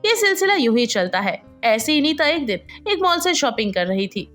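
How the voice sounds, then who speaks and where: A woman delivers scripted story narration in a high voice. Her intonation is animated and varied, and music runs softly beneath her. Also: moderate pace.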